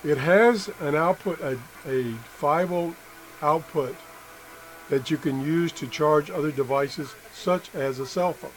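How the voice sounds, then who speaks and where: A middle-aged man with a slightly high voice talks through a hands-on demonstration at a workbench. He is halting and unscripted, often correcting himself as he thinks aloud. The indoor recording carries faint background noise. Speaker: amateur product tester and video host. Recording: noisy room with background sound.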